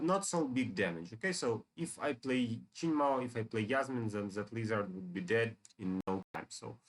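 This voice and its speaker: Man casually commentating a video game. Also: medium pitch. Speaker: gamer presenting a game walkthrough. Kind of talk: casual game commentary and reading dialogue aloud.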